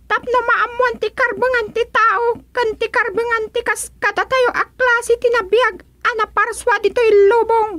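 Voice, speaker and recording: A woman playing a vengeful, gloating termite queen in a comedic radio drama, with a shrill, theatrical, drawn-out delivery. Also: steady tone, bright, forceful tone. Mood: stern, regal.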